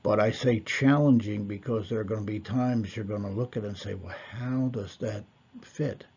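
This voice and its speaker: A man giving a slow, measured Torah lesson, pausing often with fillers. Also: fairly low pitch, darkish tone, slightly rough, dull audio. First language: not Hebrew. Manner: measured, deliberate, with fillers and pauses. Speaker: Torah teacher. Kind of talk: slow lecture.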